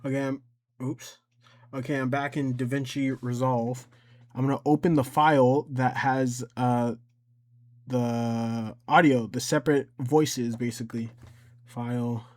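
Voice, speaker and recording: A man with a medium-pitched voice hosts a casual software tutorial. He explains things step by step in an informal, unscripted way, often thinking aloud as he works. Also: steady tone, a little slow, pauses often. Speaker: tutorial host.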